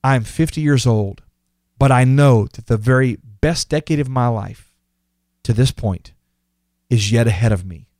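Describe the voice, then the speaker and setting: A man's voice: medium pitch, a little mellow, no room sound. Host, male, 50s: earnest motivational podcast monologue, emphatic repeats, dry close mic.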